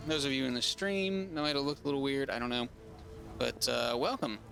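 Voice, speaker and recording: Let's-play host: man, mid-pitched, slightly raspy, rambling casual delivery, music under him. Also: fairly quick, fairly bright tone. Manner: rambling, full of ums and restarts, reads quest log aloud. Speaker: gaming streamer.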